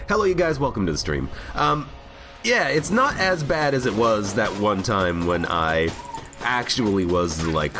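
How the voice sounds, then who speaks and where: A man with a cold and a slightly worn voice, rambling cheerfully as he streams himself playing a game. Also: on the low side. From United States. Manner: rambling, chatty, self-mocking humor. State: has a cold, voice a bit worn. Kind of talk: casual live gameplay commentary. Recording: game music loud under voice. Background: game music.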